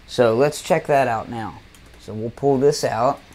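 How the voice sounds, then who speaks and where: A man's voice: mid-range voice, measured pace, darkish tone. A man presenting a casual hands-on demonstration of audio gear, explaining each step as he does it. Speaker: presenter demonstrating a synthesizer module. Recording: noticeable background noise.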